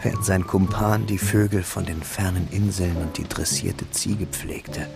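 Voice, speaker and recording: An actor narrating a literary radio drama as a reflective, wistful man looking back on his schooldays, measured and solemn. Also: low-pitched, steady tone, gravelly, over music.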